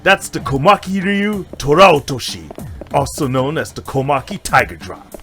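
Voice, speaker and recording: Man acting as eager fast-food worker and ex-fighter, raised pitch, swinging intonation, excitable, anime-style audio drama. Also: faint music behind, exaggerated voice. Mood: fired up. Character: eager fast-food worker, retired martial arts pupil, good-natured fighter.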